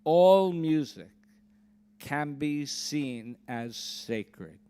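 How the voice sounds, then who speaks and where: A man speaking as a minister, giving a solemn blessing in measured, deliberate, emphatic phrases. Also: on the high side, expressive, warm tone, clean tone. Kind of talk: solemn closing blessing at a church service.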